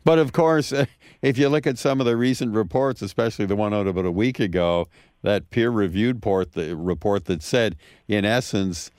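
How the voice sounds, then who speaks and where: Brief: low-pitched male radio host, dry studio sound, probing but agreeable. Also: normal speed, darkish tone, dry recording. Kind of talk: radio interview.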